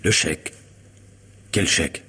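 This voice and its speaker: An actor playing an urbane, probing lawyer in a vintage French radio drama, speaking in a measured, formal, questioning manner. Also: male voice, sharp tone. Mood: probing, composed. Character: urbane, probing male lawyer and family friend.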